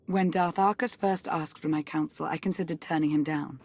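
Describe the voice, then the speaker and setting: Female Sith intelligence chief, lowish pitch, composed, formal, measured, proud, self-reproachful, game cutscene drama. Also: steady tone, a little mellow. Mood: regretful resolve.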